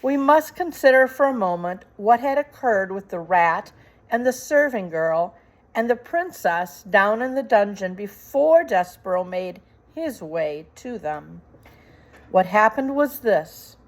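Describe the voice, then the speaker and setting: Slow, deliberate woman storyteller. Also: mid-range voice, expressive. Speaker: storybook reader. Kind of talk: scripted dramatic storybook narration.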